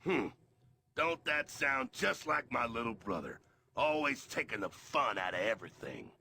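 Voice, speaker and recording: An actor playing a huge, brash brute in a video game, boisterous and uncouth with a gravelly voice. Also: male voice, medium pitch, sing-song, normal speed, slight background noise, heavily acted. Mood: grumbling. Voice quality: gravelly, forceful. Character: huge brash male brute who loves destruction.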